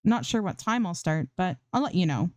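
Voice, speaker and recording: A woman's voice: lowish voice, sing-song, moderate pace, a little mellow, dry recording, studio quality. A woman voicing a bubbly, anxious young female streamer in a story reading, chirpy and a little scattered. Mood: cheerful, teasing.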